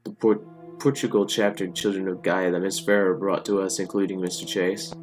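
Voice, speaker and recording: A man's voice: deep voice, little pitch movement, fairly quick, faint music behind, close to an everyday voice, low-quality recording. A man voicing a polite, literal-minded machine assistant in a story, formal and deferential. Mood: matter-of-fact. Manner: formal, deferential, matter-of-fact.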